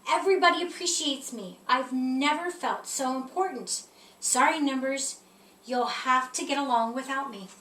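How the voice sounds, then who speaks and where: A woman's voice: sits high, normal speed, bright, forceful tone. A woman voicing a proud, eager number three in a children's storybook, speaking in emphatic declarations. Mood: self-important. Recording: roomy echo, distant mic, faint noise.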